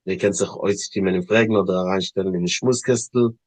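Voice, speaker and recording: A man hosting an informal interview, speaking haltingly and searching for words as he puts questions and announcements. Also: deep voice, fairly even intonation, a little mellow, no echo. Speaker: interviewer and event host.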